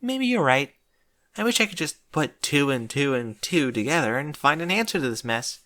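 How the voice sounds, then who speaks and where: A man's voice: a little high, lively intonation, moderate pace, bright, forceful tone, pitched above the performer's own voice. A man voicing a bookish, anxious female unicorn who speaks earnestly and fretfully in a storybook reading.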